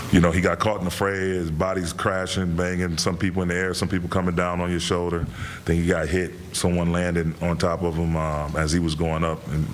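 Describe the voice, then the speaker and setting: Low, flat-voiced coach at a press podium. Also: male voice, a little hiss or hum. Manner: measured, repeats words, many fillers. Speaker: head coach. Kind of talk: postgame press conference answers. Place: press room in front of a sponsor backdrop.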